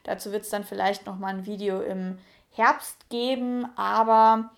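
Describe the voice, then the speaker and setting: A woman's voice: mid-range voice, steady tone, measured pace, gravelly. Chatty young woman vlogging frankly. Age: 20s. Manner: chatty, frank, many fillers. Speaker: YouTuber. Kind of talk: casual personal vlog.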